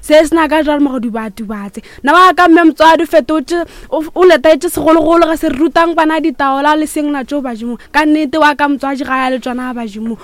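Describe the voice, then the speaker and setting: Woman declaiming a fast praise poem. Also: high voice, dry recording. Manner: rhythmic, declamatory. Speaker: poet, radio guest.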